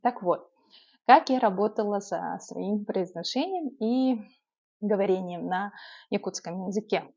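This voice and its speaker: A young woman from Yakutia with an urban Yakut accent, giving language-learning tips in a casual, lively vlog with frequent filler sounds. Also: mid-range voice, a little slow, highs cut off. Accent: urban Yakut Russian. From Yakutia, Russia.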